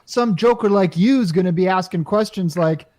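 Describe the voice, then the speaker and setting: A woman's voice: lowish voice, moderate pace, a little mellow, lightly acted. A woman playing a wisecracking, easily alarmed male office worker in an old-time radio comedy, colloquial and urgent.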